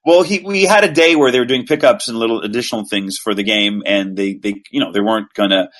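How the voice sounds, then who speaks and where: Relaxed low-voiced man from Quebec. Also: fast talker. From Quebec, Canada. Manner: relaxed, rambling, self-deprecating. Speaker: voice actor guest. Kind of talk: casual interview chat.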